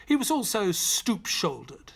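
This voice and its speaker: An actor playing a loyal, grieving gentleman who narrates a detective audio drama in a measured, reflective manner. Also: high voice, big pitch swings, creaky, slightly rough, full character voice.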